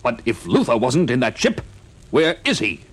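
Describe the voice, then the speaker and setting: A man's voice: mid-range voice, huge pitch swings, moderate pace, husky. An actor playing a grave, dismayed male President, secretly an impostor, in an old superhero audio drama.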